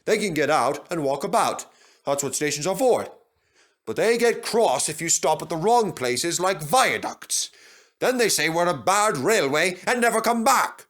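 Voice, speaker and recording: A man voicing a wise old engine in a children's story, explaining and gently admonishing. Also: a little high, very animated, a little slow, pitched above the performer's own voice. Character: wise old male engine telling cautionary tales.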